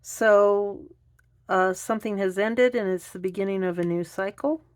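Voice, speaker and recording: A woman doing an unscripted tarot reading, thinking aloud in a halting, musing way. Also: medium pitch. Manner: hesitant, halting delivery with musing pauses. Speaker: tarot reader.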